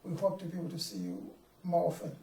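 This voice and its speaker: A man's voice: a little high, very animated, fast, creaky, dark tone, echoey room, distant mic, a little hiss or hum, poor audio. A man interviewing a guest in a studio for a TV program, talking hesitantly with fillers and restarts.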